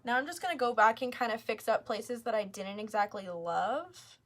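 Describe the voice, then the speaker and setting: A woman's voice: fairly high pitch, expressive, moderate pace, fairly bright tone. A woman casually hosting a live craft tutorial, chatting informally as she explains each step. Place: craft store.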